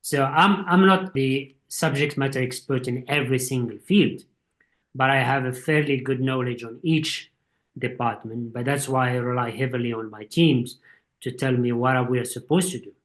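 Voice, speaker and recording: A man's voice: medium pitch, normal speed, fairly bright tone. Man, thoughtful guest. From Morocco. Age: 40s. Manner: thoughtful, explains at length. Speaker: guest, supply chain executive. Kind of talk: casual interview.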